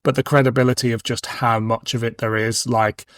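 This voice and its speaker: A man's voice: mid-range voice, flat delivery, a little fast, fairly bright tone, no echo, clean studio sound. A man hosting a podcast, chatting casually and reflectively about audio drama in a discursive way. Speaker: podcast host.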